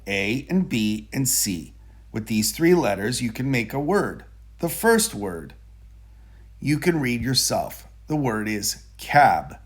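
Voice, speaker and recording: Husky, expressive male storybook reader. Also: mid-range voice. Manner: deliberate, expressive storytelling for young children. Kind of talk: children's picture book read-aloud.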